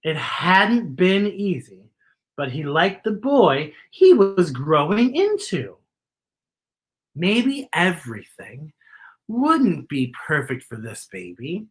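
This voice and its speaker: Children's storyteller, lively swooping intonation, slightly raspy, expressive, chatty asides. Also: mid-range voice. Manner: expressive, exaggerated storytelling, pausing to point out pictures. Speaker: children's story-time host reading a picture book aloud. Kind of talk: animated read-aloud for children with asides to the listeners.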